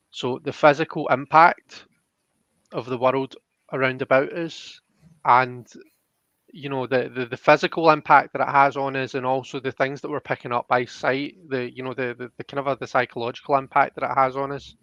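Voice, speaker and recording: Casting: male card-reading host, casual and halting delivery, mid pitch, close dry slightly lo-fi sound. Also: fairly bright tone, no room sound. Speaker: host and tarot card reader.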